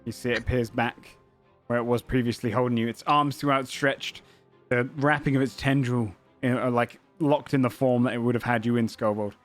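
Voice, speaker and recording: A man running a tabletop game as game master, calmly narrating what happens and calling out rolls. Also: mid-range voice, fairly quick, faint music behind.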